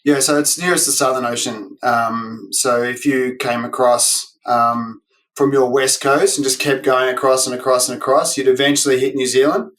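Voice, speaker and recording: Guest, Australian man, mid-pitched voice, relaxed and easygoing chat. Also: moderate pace, rough recording. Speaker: guest educator. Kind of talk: casual interview chat.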